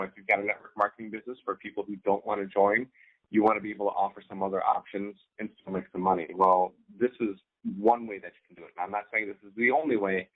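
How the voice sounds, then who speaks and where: A man co-hosting an informal instructional talk as a business coach, speaking haltingly with repeated words and frequent "right?" check-ins. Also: on the low side. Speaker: co-host, online business coach. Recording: thin, phone-like band-limited sound.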